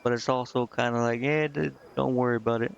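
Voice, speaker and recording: A man's voice: mid-range voice, steady tone, fast talker, vocal fry, slight background noise. A man streaming a game, commenting casually and reading dialogue aloud in a hesitant way, stumbling over words. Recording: slightly muffled, music behind. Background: game music.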